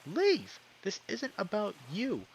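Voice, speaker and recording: A man's voice: sits high, wildly swooping pitch, slow pace, pitched above the performer's own voice, heavily acted. Guy doing an angry lizard man from a visual novel, defensive and hostile, noisy recording. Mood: angry, dismissive. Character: defensive, aggressive male anthropomorphic lizard, baffled and hostile. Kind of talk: visual novel character acting.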